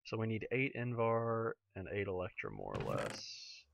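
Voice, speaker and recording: A man with a slightly hoarse, raspy voice chatting to himself while playing through a modded game. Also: fairly low pitch, wildly swooping pitch, unhurried, background noise. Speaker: let's play gamer. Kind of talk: casual gameplay commentary.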